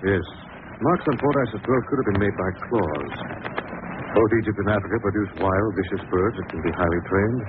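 A man playing a grave, analytical gentleman sleuth in an old-time radio drama. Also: on the low side, fairly quick, lightly acted. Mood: grave, speculative. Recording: noisy, tinny old radio broadcast.

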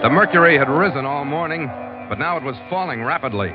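An actor playing a terse, seasoned American ship's master, narrating and acting in a hardboiled 1940s radio adventure style. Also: male voice, fairly high pitch, expressive, fast, lightly acted. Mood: brooding, matter-of-fact. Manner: terse, wry, matter-of-fact. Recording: muffled vintage radio, music underneath.

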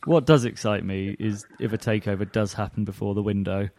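A man's voice: fairly low pitch, fairly even intonation, brisk, darkish tone, slight background noise. A man chatting casually about football as a panellist on a podcast.

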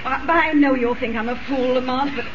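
An anxious, earnest young woman of society played by an actress in a 1930s radio mystery. Her high voice sounds a little distant on a dull, noisy recording. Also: level tone, a little fast, close to an everyday voice. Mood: fearful, earnest.

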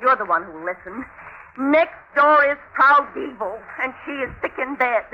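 An actress playing a scornful, domineering wife who nags emphatically in old-time radio drama style. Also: female voice, animated, moderate pace. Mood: furious, scornful. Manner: shrill, nagging, emphatic delivery. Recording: dull, noisy vintage radio recording.

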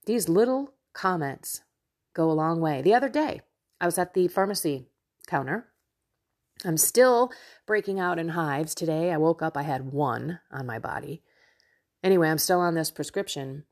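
Low-pitched, slightly nasal woman podcaster. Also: darkish tone. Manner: effusive, chatty, talks directly to listeners. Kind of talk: casual devotional monologue to listeners.

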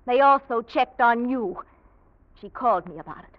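A woman's voice: fairly high pitch, animated, normal speed, natural voice. Actress playing a cool, defiant woman, vintage radio. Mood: scornful, defiant. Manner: cool, pointed, mocking. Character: cool, proud, defiant young woman confronting a suitor. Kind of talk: 1940s radio drama acting. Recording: dull vintage radio recording.

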